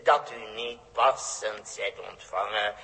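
An actor playing a sly, grandiose exiled warlord in an old radio drama, mock-polite and theatrical, hemming and repeating himself. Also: male voice, lowish voice, fairly even intonation, slow pace, pushed and bright, exaggerated voice. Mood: slyly courteous. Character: sly, grandiose exiled warlord, cunning galactic game player. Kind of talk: 1960s radio drama acting. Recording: old radio recording in reverberant hall.

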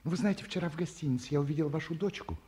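An actor playing a courtly, self-satisfied middle-aged academic in an old Soviet radio play, speaking in formal, ornate, hesitant phrases. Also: male voice, fairly high pitch, racing, dark tone, husky. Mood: wistful, agitated. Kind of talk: mid-century Soviet radio play acting. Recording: muffled-voiced 1950s radio studio recording.

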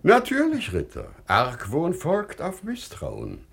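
An actor playing a wise, patient older merchant and father in a classical German radio play, speaking in a measured, declamatory stage manner. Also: fairly high pitch, wildly swooping pitch, creaky, fairly bright tone, husky, exaggerated voice. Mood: calm, firm.

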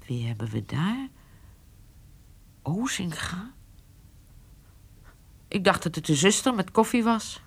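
A woman's voice: on the low side, sing-song, slow, creaky, long pauses, slightly rough, exaggerated voice. An actress playing a proud, unforgiving old woman in a radio drama, with a curt, cutting manner. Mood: surprised. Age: older. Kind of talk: naturalistic radio drama dialogue.

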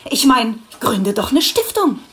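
An actress playing a righteous, eco-minded city mayor in a radio play, articulate and rhetorical. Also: female voice, on the high side, big pitch swings, a little slow, fairly bright tone, faint music behind. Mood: exasperated, pleading.

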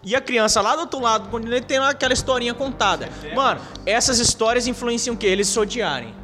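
A man's voice: very high-pitched, fast. A man co-hosting a podcast in casual, slangy, emphatic chat. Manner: slangy, emphatic, says "mano".

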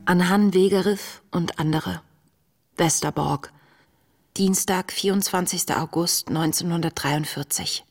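Low-voiced, reflective camp letter writer. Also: female voice. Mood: reflective, tender. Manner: reflective, measured, sometimes hushed. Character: compassionate young woman writing letters from a camp. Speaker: lead actress, letter reader. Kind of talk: intimate literary letter narration in a radio play.

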